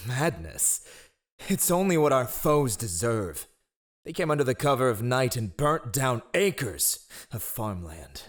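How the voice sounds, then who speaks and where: A man's voice: mid-range voice, very animated, unhurried. A man playing a ruthless, sardonic spy master who advises a monarch with silky, mocking courtly formality in a fantasy audio roleplay. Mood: mocking, needling.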